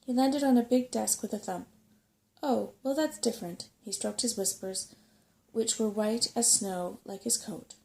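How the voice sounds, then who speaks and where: A woman reads a bedtime story aloud in a soft-spoken ASMR manner. Her voice is mellow and creaky at the edges, with a gentle, unhurried delivery. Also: mid-range voice.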